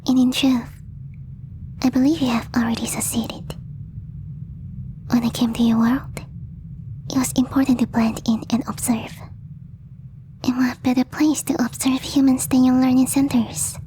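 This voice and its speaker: Gentle alien girl female performer, ASMR roleplay: breathy whisper, even melody, soft mellow tone, shyly formal and slightly stilted delivery. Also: on the high side, slight background noise, natural voice. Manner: hesitant, apologetic, formal slightly stilted phrasing. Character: gentle, devoted young female alien posing as exchange student.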